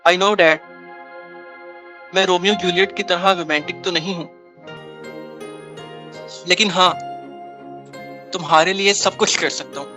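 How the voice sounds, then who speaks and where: A man's voice: high-pitched, steady tone, a little slow, long pauses, pushed and bright, faint music behind. A man acting an earnest young man in love in a romantic drama, pleading and declaiming his devotion.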